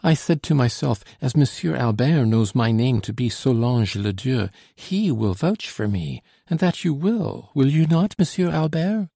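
A man's voice: medium pitch, expressive, measured pace, creaky, few pauses, mellow, no echo, clean studio sound, voice pushed up. A man voicing a frightened young woman who pleads anxiously and politely, a trembling voice in a spoken story. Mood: pleading.

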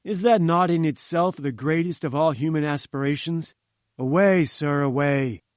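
Male reader doing a loud, haughty old professor; curt, lecturing, high and forceful. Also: normal speed, no echo, clean studio sound, natural voice. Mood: angry, lofty. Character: imperious, irascible older male scientist.

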